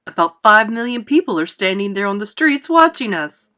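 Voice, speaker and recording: A woman's voice: mid-range voice, big pitch swings, moderate pace, pushed and bright. A woman voicing a bossy, easily alarmed male fire chief in a storybook, exclaiming and blustering.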